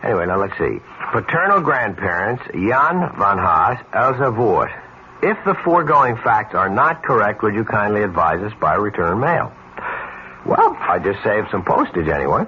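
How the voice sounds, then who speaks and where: Hesitant low-voiced husband, old radio. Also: male voice, big pitch swings, moderate pace. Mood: puzzled, wry. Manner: hesitant, stammering. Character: ordinary married family man, puzzled, hesitant, mild. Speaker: actor in a radio drama. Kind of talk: radio mystery drama acting. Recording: dull, hissy vintage radio recording.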